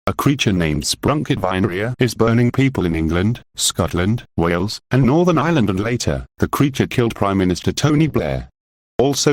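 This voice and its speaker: Very low male TV newsreader played by a man for a horror emergency broadcast, clipped delivery, lively intonation. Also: no room sound, clean studio sound. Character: BBC emergency announcer during a monster attack. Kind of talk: scripted emergency broadcast narration.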